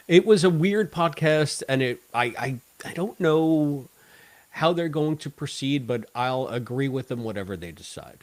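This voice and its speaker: A man co-hosting a casual podcast discussion, talking in a wordy, halting way and repeating phrases as he goes. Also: medium pitch, dry recording. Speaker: podcast co-host.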